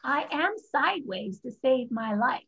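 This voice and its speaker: A woman's voice: sits high, lively intonation, measured pace, creaky, fairly bright tone, husky, exaggerated voice. A woman reading the part of a proud, blunt fifteen-year-old Chinese girl in a staged play reading over a video call. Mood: proud, bitter. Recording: muffled video-call audio.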